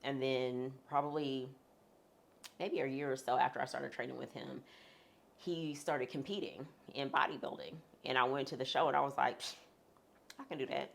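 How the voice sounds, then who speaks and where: A woman speaking frankly as a guest in a sit-down interview, talking with humorous asides in a deep, husky voice. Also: fairly even intonation, moderate pace. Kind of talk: candid sit-down conversation.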